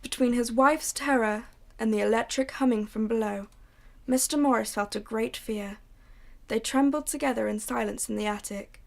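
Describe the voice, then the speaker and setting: A woman narrating a radio drama in a low, hushed storytelling manner. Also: normal speed, vocal fry.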